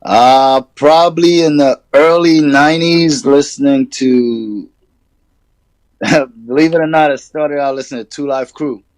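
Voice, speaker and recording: A man from Sudan, a rapper guest, talking in a relaxed, casual way with hip hop slang. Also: a little high, a little slow, no echo, rough recording. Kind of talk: casual interview chat.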